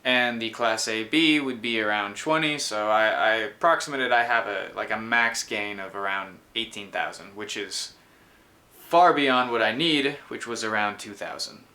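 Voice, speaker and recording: Bright-voiced young man explaining electronics. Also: fairly low pitch, moderate pace. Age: 20s. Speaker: host explaining his electronics project. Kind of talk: informal technical explanation to camera and in voice-over. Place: small room indoors.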